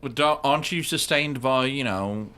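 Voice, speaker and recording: A man with a middling pitch takes part in a tabletop role-playing game. He speaks casually and a little hesitantly, with a mildly dry manner. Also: moderate pace, sharp tone, clean tone. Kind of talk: casual improvised role-play chat.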